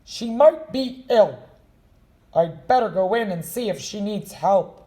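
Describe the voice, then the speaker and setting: A woman voicing a male hunter in a read-aloud storybook, calling out loudly. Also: mid-range voice, animated, measured pace, voice pushed up, natural voice. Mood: concerned.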